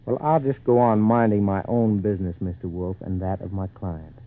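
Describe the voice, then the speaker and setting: A man's voice: low voice, steady tone, moderate pace, natural voice. A man playing a polite, assured city lawyer in 1940s radio acting. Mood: courteous, assured. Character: calm, courteous city lawyer. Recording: noisy, tinny 1940s radio recording.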